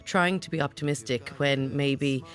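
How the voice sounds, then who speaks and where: A woman reviews music on a live radio panel, thinking aloud as she goes. She fills her talk with soft hedges and little asides. Faint music plays quietly behind her in the studio. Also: extremely low pitch, normal speed. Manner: chatty, thinking aloud with many hedging fillers.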